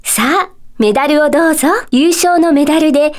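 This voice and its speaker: A gentle lady teacher from a magic school, acted by a woman for a Japanese video game. Her voice is high and sweetly composed. She speaks politely, like someone addressing young pupils, with a playful, coy touch. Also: a little fast, close to an everyday voice. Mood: cheerful, encouraging. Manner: polite, soft-spoken teacherly phrasing with playful coyness. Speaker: voice actor.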